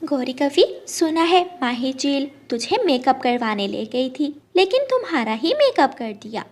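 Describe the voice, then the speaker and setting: A woman's voice: high-pitched, very animated, unhurried, darkish tone. A woman voicing a vain, chirpy female sparrow in a children's cartoon. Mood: teasing. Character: teasing, vain female sparrow fond of makeup. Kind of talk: Hindi children's cartoon dialogue.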